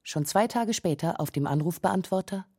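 A woman's voice: lowish voice, animated, moderate pace, mellow, no echo, clean studio sound. A woman reading scripted narration for a radio documentary feature.

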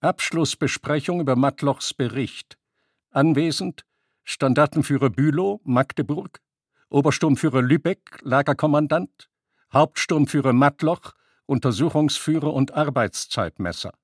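A man's voice: a little high, unhurried, no room sound, studio quality. A man reading headings and lists in a scripted, formal narrating style.

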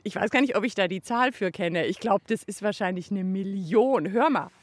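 A woman's voice: medium pitch, moderate pace. A woman co-hosting a children's radio show outdoors in a park, curious and playful. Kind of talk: lively kids' show presenting.

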